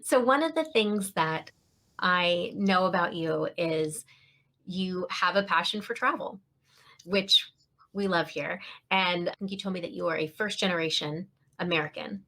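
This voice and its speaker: A woman's voice: lowish voice, measured pace, sharp tone. Enthusiastic woman podcast host. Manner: enthusiastic, effusive welcomes and praise. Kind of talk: interview hosting.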